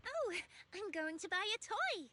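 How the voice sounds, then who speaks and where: A woman voicing an excitable, eager young woman researcher obsessed with monsters in a video game. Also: very high voice, a little slow, background noise, exaggerated voice. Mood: playful, earnest. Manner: animated, eager, dramatic.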